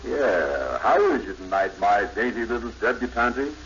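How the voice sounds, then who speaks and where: A man acting a naive, slow-witted, lovestruck suitor with folksy, ungrammatical speech in a 1950s radio sitcom. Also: lowish voice, expressive, a bit bright. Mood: courting. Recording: very noisy, dull vintage radio broadcast.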